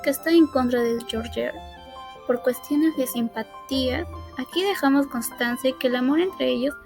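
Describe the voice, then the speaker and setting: A woman narrates a scripted biography in a student radio drama. Her voice is notably high and her reading stumbles here and there. Music plays clearly behind her. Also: darkish tone. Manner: halting read-aloud delivery with stumbles.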